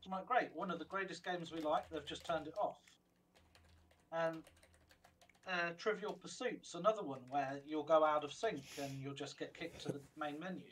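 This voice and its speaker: Male co-host, opinionated and rambling, slightly raised pitch, light noise floor. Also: moderate pace, a bit bright. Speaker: podcast co-host. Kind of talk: casual chat.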